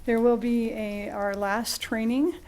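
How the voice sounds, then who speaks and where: Higher-pitched woman giving a meeting update. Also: steady tone, slow pace, a little mellow. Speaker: city planning director. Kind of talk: informal public update. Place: public council meeting room. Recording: meeting room with background noise.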